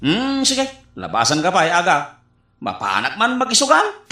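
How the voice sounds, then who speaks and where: A man's voice: high voice, huge pitch swings, normal speed, bright, forceful tone, exaggerated voice. Man acting a wildly swooping, nosy neighbour. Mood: teasing. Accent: Ilocano. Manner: prying, teasing. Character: nosy, teasing neighbour pestering passersby with questions. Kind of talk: Ilocano radio comedy drama acting. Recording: echoey room sound.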